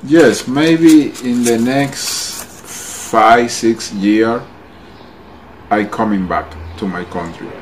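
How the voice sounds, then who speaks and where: Man answering in halting English. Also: mid-range voice, a little hiss or hum. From Dominican Republic. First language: Spanish. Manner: halting non-native English. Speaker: interviewee. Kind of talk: short interview answers.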